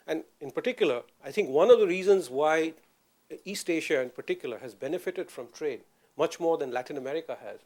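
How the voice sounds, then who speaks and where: Male audience commenter, measured and thoughtful, slightly higher pitch. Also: a little mellow. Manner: thoughtful, measured, many fillers. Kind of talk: public Q&A remark.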